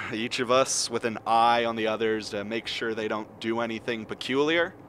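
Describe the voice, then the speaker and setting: A man's voice: medium pitch, moderate pace, fairly bright tone, slight background noise, natural voice. A man playing a sarcastic, fed-up expedition member in a radio play. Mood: bitterly sarcastic.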